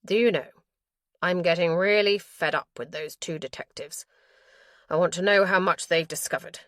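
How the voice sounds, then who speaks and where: A ruthless former police chief turned crime boss, as a woman narrator puts him on, giving clipped orders in a low, cold voice. The audiobook reading is close and dry, with no room around it. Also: normal speed, fairly bright tone, dry recording, close to an everyday voice. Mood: irritated.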